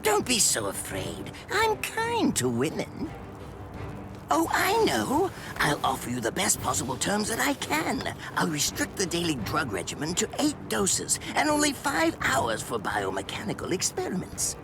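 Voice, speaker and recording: An actor playing a gleefully sadistic mad-scientist captain in an anime game, theatrical and mock-polite with condescension. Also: male voice, high-pitched, huge pitch swings, a little slow, creaky, background noise, heavily acted. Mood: creepy coaxing. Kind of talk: anime video game voice acting. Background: music.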